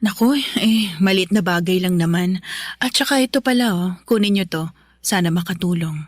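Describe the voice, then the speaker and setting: A woman's voice: lowish voice, moderate pace, dry recording, natural voice. Chatty, coaxing neighbor lady, close dry sound, acted. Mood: kindly coaxing. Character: Tita Nemya, generous middle-aged neighbor lady, reconciling. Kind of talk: Filipino radio drama acting.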